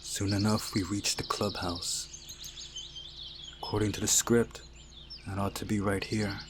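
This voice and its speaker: Slow-paced man narrating, low voice. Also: flat delivery, noisy background. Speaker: visual novel playthrough narrator. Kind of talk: scripted narration, reading aloud. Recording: music behind the voice.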